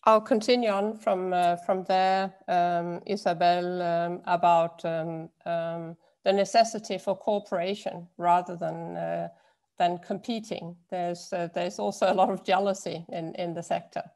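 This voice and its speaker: A woman panelist speaking in an online discussion over a video call, halting, with frequent fillers. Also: fairly low pitch, flat delivery, creaky, darkish tone.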